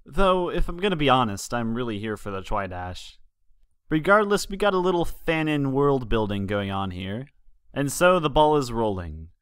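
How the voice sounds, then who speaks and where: A man's voice: medium pitch, sing-song, normal speed, clean tone, no echo. Man narrating a story in dry sound. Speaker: fan fiction reader, narrator.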